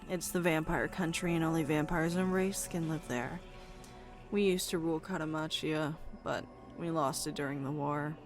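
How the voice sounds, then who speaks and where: A woman's voice: sits low, fairly even intonation, normal speed, soft background music, close to an everyday voice. A woman playing a sarcastic, bratty vampire deity in an audio drama, flippant, slangy and impatient. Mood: mocking, bored. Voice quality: creaky. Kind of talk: visual-novel audio drama.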